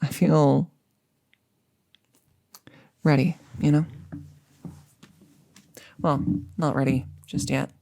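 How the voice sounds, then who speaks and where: A woman's voice: deep voice, steady tone, very slow, creaky, pauses often, dark tone, full character voice. A woman playing a chatty, upbeat girlfriend in an intimate ASMR roleplay, rambling casually and musing with hesitations. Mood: casual, reminiscing. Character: chatty, upbeat girlfriend about to become a vampire.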